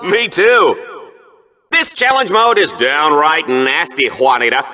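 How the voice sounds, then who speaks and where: An actor playing a smug, vain, bloodthirsty TV arena commentator in a video game, with a hammy, theatrical announcer delivery. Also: male voice, high voice, sharp tone, full character voice. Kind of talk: bombastic game-show sports commentary.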